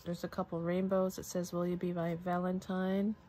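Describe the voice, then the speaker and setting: A woman's voice: fairly low pitch, monotone, normal speed, warm tone, a little hiss or hum. A woman giving casual unboxing commentary at a craft table, chatty and musing aloud to herself. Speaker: crafter showing a product haul.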